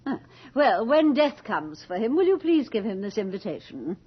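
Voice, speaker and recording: Husky, imperious noblewoman, swooping theatrical delivery, callous society hostess, radio drama. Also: fairly high pitch, sing-song, moderate pace. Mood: brisk, imperious. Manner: haughty, theatrical, commanding. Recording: dull 1980s radio drama recording.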